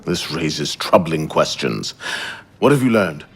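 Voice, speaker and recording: An actor playing a formal, solemn leader of an assassin council in a video game cutscene. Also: male voice, rumbling low, very animated, unhurried, gravelly, a bit bright, husky, heavily acted. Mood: grave.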